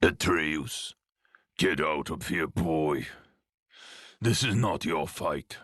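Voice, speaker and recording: A grim, commanding warlord from a video game. The voice is very deep and husky, rolling out slowly and dryly with menace. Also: male voice, fairly bright tone, no echo, studio quality, heavily acted. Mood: grim, resolute. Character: grim, commanding warlord, father of a grown son. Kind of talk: dramatic game cutscene acting.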